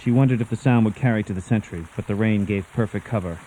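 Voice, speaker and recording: An actor playing a grave storyteller, narrating a suspense tale for radio drama in a theatrical, deliberate manner. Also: male voice, fairly low pitch, dark tone, a little hiss or hum, natural voice, low-quality recording. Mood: tense, dramatic. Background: music.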